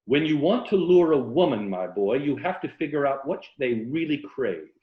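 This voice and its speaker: A man's voice: mid-range voice, lively intonation, natural voice. A man voicing a worldly, middle-aged romantic schemer in a novel reading, florid and declamatory, leaning hard on his words. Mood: grandiose, passionate. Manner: florid, declamatory, drawn-out emphasis. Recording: roomy, dull video-call audio.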